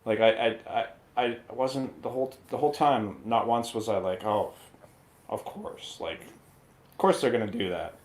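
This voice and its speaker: Lowish-voiced man in casual movie chat. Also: moderate pace. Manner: joking, irreverent, colloquial. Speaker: guest on a movie review show.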